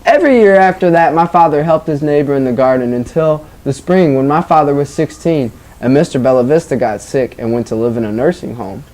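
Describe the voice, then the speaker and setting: Casting: classroom teacher, mid-pitched voice, storybook read-aloud and prompting questions for young pupils. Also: brisk, few pauses, darkish tone, a little hiss or hum. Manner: prompting children with questions, acknowledging their answers.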